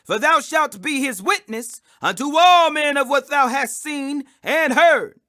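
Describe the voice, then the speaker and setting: High-pitched, emphatic man reading scripture. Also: lively intonation, pushed and bright. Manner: emphatic, declamatory, with drawn-out stressed phrases. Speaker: scripture reader. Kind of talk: dramatic scripture reading, preacher-like declamation.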